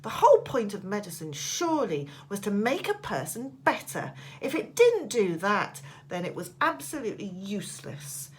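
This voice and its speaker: A woman's voice: mid-range voice, big pitch swings, moderate pace, hardly any pauses. A woman teacher reading a children's storybook aloud with dramatic, emphatic expression. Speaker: primary school teacher.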